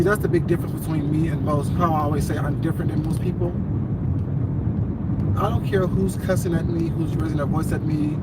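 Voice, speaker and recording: A man vlogging from his car, ranting defiantly at his critics, with a stammer and heavy tongue. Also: a little high. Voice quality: speech impediment, stutters. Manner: defiant, repetitive, emphatic. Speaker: YouTuber. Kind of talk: casual vlog rant. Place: in a car outdoors. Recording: distant, with loud traffic noise.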